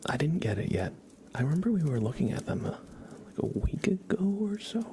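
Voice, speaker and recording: A man hosting an ASMR livestream, chatting casually in a breathy whisper and soft voice, with hesitant, bantering asides. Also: on the high side, sing-song, brisk, background noise.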